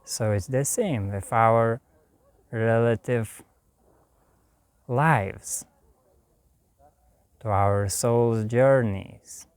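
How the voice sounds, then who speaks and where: Slow, calm Lithuanian-accented man. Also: medium pitch, clean tone, no echo. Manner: slow, soft and calm, with long pauses. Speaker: spiritual teacher. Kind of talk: meditative spiritual talk.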